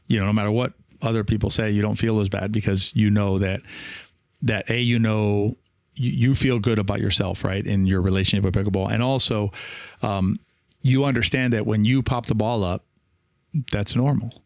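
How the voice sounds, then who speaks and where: A man hosting a casual solo podcast, talking in a rambling, reassuring way with frequent pauses and check-ins. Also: lowish voice, fairly even intonation, gravelly, no echo, studio quality. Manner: hesitant, rambling, reassuring, often checking in with "right?". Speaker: podcast host and experienced pickleball player. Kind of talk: casual solo podcast talk, reading and answering listener comments.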